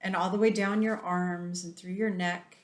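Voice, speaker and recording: A woman leading a guided meditation in a softened, soothing voice, drawing out slow breathing instructions. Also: on the low side, steady tone, roomy sound.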